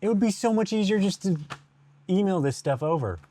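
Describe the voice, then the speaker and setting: A man's voice: high-pitched, animated, a little fast, dark tone. A man chatting casually and playfully as he makes a vlog. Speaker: video creator.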